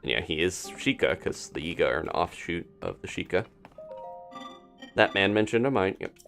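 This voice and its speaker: A man's voice: deep voice, expressive, normal speed, vocal fry, a bit bright, soft background music. A man chatting casually through a live-streamed game, wondering aloud and laughing often. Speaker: streamer playing a video game.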